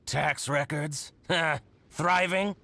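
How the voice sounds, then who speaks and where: A man playing an excitable, scheming shopkeeper with a grudge, exclaiming theatrically in an English video game dub. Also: on the high side, very slow, creaky, slight background noise, full character voice. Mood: gloating. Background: loud music.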